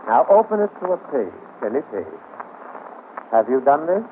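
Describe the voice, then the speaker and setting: Through the clear hiss and narrow band of a 1940s radio recording comes a man acting a commanding mentalist. He is theatrical and imperious, weighing his words with sinister deliberation as he controls those around him. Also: medium pitch, very animated, moderate pace, lightly acted. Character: domineering stage mentalist husband, commanding and sinister.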